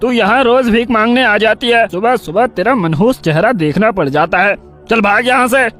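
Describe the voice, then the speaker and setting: A man's voice: high voice, normal speed, fairly bright tone, pitched above the performer's own voice. An actor voicing an angry, scornful man in a storybook tale, harsh and scolding. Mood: angry, contemptuous.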